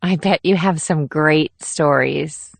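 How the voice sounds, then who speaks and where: A woman's voice: sits low, slow pace, vocal fry, no echo, exaggerated voice. A woman playing an eager, pouty teenage girl in audiobook dialogue. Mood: eager, smitten.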